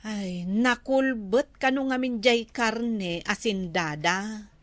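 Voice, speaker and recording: A woman's voice: mid-range voice, takes it slowly, a bit bright, no room sound. A woman acting a gossipy, teasing village friend in an Ilocano comedy drama, with an Ilocano Filipino accent.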